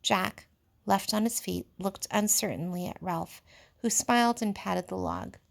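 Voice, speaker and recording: A woman reading a novel aloud in expressive, measured storytelling narration, like a teacher. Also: medium pitch, gravelly. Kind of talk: audiobook-style read-aloud narration of a novel.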